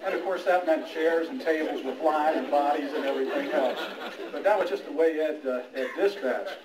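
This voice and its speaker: A middle-aged man giving an informal retirement speech as a police major, speaking haltingly in an anecdotal way. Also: a little high, a loud crowd. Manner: halting, anecdotal, with quoted dialogue. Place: indoor room at a retirement party. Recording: echoey room, distant mic, background noise.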